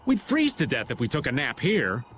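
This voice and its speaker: Flippant, swooping middle-aged rogue. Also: male voice, mid-range voice, wildly swooping pitch, moderate pace, fairly bright tone, a little hiss or hum. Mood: teasing. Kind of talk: anime game voice acting.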